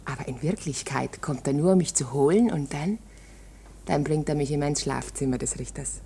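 An actress playing a young court usher's wife in a German radio play, chatty, confiding and coquettish. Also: female voice, deep voice, normal speed, gravelly, noisy background. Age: young adult.

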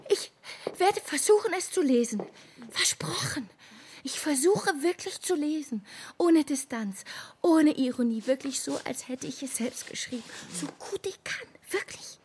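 Anxious earnest woman, breathy highish voice w/ some creak, radio drama. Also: animated, unhurried, darkish tone, a little hiss or hum. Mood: earnest pleading. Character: anxious, earnest, pleading woman, intense and wistful. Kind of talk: German radio drama acting.

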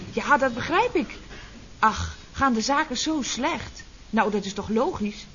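A mock-innocent female secretary in a vintage radio comedy serial. Her voice sits on the high side, sugary and slyly teasing. The recording is dull and carries faint noise. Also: a bit bright.

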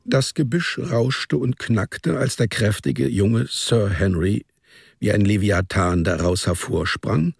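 Male radio drama narrator: lowish, creaky, husky voice, deliberate literary delivery.

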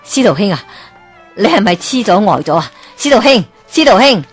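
A woman's voice: medium pitch, sing-song, moderate pace, breathy edge, soft background music. A woman voicing an anxious middle-aged woman pleading with a friend in a radio storybook, with a Hong Kong Cantonese accent. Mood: worried.

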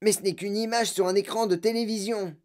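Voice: mid-range voice, steady tone, fairly quick, darkish tone, pitched above the performer's own voice, close to an everyday voice. A narrator voicing a cheeky, sceptical young boy who argues back in a children's audiobook. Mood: sceptical, defiant. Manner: contradicting, sceptical. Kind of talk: children's audiobook character acting.

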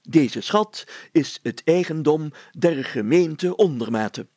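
A man's voice: a little high, expressive, slow, darkish tone, no echo. A man voicing a pompous, fussy village mayor in an audiobook, speaking formally and stumbling into self-corrections. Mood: solemn, commanding.

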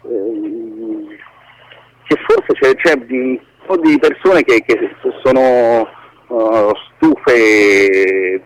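A man's voice: medium pitch, low-quality recording. A man speaking as an interview guest in an informal, hesitant way, repeating words as he searches for the next. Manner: hesitant, halting, repeats words, casually profane.

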